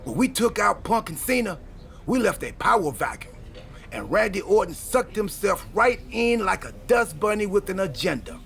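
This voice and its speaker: A man playing an excitable, goofy time-travelling wrestler in a video game cutscene, wisecracking through dramatic exposition. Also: high-pitched, moderate pace, vocal fry, exaggerated voice. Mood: dramatic, ominous.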